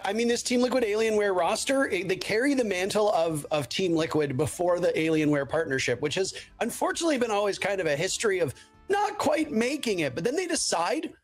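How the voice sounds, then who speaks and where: A man from Canada commentating live esports, teasing his co-caster and then building to excited hype. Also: sits high.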